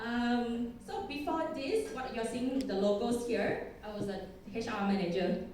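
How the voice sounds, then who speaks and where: A woman's voice: fairly high pitch, measured pace, darkish tone, slight background noise. A woman in her thirties from Thailand with a Thai accent, giving a chatty, self-deprecating conference talk and laughing often. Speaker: conference speaker. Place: conference hall. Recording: echoey hall, distant mic.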